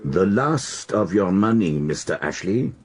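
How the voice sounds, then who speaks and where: A man acting in a radio drama as a cool, menacing card player, taunting and measured. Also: sits low, animated, darkish tone, slightly rough, exaggerated voice.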